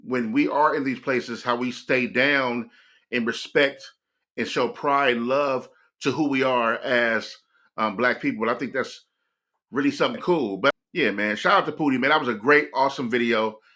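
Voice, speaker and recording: A man's voice: medium pitch, normal speed, bright, forceful tone. An American man hosting a reaction video, talking in a reflective, rambling way and addressing his viewers directly. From United States. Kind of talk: casual reaction commentary.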